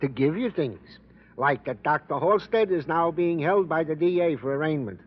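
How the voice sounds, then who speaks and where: A man's voice: fairly high pitch, expressive, moderate pace, natural voice. An actor playing a wordy, fatherly police sergeant in a radio drama, talking in a florid, mock-formal way. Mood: reporting pompously. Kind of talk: 1950s radio character acting. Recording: muffled, noisy old radio recording.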